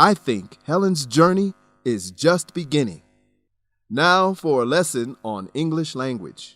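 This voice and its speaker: A male narrator teaching English vocabulary from a script, with a medium-pitched voice and deliberate pauses. The recording is dry and close, with some music behind him. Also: a bit bright, no room sound.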